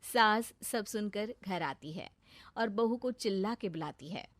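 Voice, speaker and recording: A woman narrating a scripted animated village story. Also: mid-range voice, a little slow, no echo.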